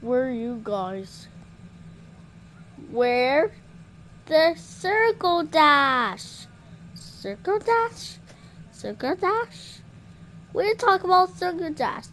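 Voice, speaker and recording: A woman improvising playful puppet show dialogue in an excitable, exclamatory way. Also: sits high, extremely slow, long pauses, slight background noise.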